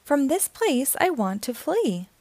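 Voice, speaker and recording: A woman's voice: high voice, sing-song, a little slow, a little mellow, no room sound, exaggerated voice. A woman voicing a lonely, adventurous male tree in a children's storybook, wistful and eager. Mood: wistful, bored. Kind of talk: children's storybook read-aloud.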